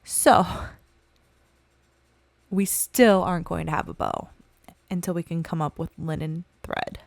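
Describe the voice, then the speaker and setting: A woman hosting a relaxed gameplay video, her voice scratchy and croaky from a cold, chatting in a laid-back, self-deprecating way. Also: on the low side, unhurried. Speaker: gaming channel host. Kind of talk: casual gameplay commentary.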